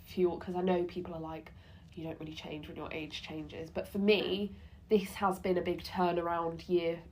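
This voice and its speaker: 20 yr old girl cohosting a podcast, fast bubbly chatter, laughs a lot. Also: on the low side, flat delivery, darkish tone, slight background noise. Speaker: podcast co-host. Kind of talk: casual chat.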